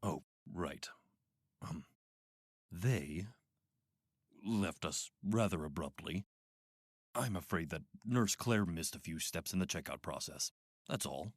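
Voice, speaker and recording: Radio drama villain played by a man: middle-aged institute doctor, deep, rough-edged, clinical, calmly manipulative. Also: no room sound, studio quality, heavily acted. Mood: smooth evasive. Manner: measured, clinical, coaxing. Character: calm, manipulative, sinister male doctor running an institute.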